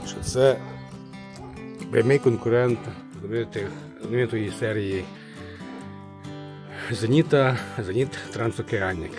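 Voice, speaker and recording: A man with a low voice gives a casual, hands-on demonstration as a radio restorer. He talks haltingly, half to himself as he works. Music plays clearly behind him.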